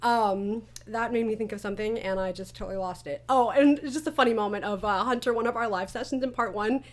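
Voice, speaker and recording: Giggly, high-voiced woman chatting casually. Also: quick pace. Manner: giggly, enthusiastic. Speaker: audio drama writer, director and interviewer. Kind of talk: casual chat about a creative project.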